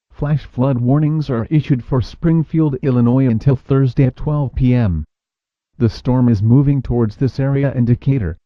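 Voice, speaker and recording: A man's voice: mid-range voice, dry recording. A man reading a scripted emergency weather bulletin as a radio announcer. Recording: dull lo-fi weather radio sound.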